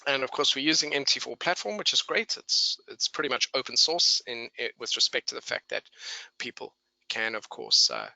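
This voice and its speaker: A man with a blended English and South African accent hosts a live instructional webinar. His voice is strikingly bright and crisp-edged at a middling pitch, recorded dry with little room sound. He explains things conversationally, speaking straight to his listeners. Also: lively intonation, normal speed, no room sound, poor audio. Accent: mixed English and South African. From England and South Africa. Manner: chatty, explanatory, addresses listeners directly. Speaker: webinar presenter and trader.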